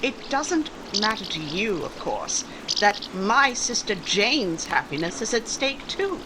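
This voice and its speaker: A woman playing a proud, spirited young daughter in 1940s radio romance style, polite but crisp and pointed. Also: mid-range voice, very animated, slow pace, noisy background. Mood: indignant.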